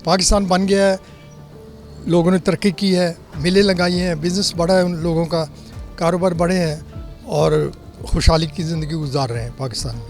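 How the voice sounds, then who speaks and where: A man in his eighties, born in Delhi and living in Lahore, recalls his childhood migration as an interviewee, telling it in an unhurried way with plain homely detail. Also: a little high, fairly even intonation, darkish tone, soft background music. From born in Delhi, lives in Lahore. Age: 80s. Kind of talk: reminiscing eyewitness account.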